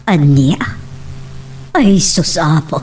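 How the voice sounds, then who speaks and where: Babai a mangiyakting iti nababbaba timekna, madanagan a kabagian. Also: female voice, sits low, lively intonation, drawn-out words, a little mellow, a little hiss or hum, exaggerated voice. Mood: shocked. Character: concerned relative, shocked by family news. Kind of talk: melodramatic Ilocano audio drama.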